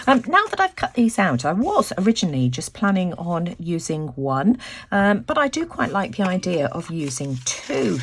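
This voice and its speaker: Croaky, low-pitched woman crafting. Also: background noise. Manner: chatty, thinking aloud. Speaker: craft video host. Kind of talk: casual craft tutorial narration.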